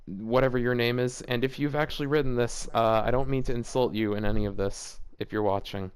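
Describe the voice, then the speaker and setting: A man's voice: medium pitch, steady tone, brisk, clear, resonant tone. A man hosting a casual read-aloud of fan fiction, breaking off for exasperated, joking commentary. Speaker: host reading fan fiction aloud with reactions.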